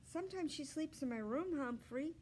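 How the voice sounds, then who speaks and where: A woman's voice: sits high, lively intonation, moderate pace, a little mellow, slight background noise, voice pushed up. A woman voicing an eager, friendly young boy in a children's storybook. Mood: earnest. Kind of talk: children's storybook read-aloud.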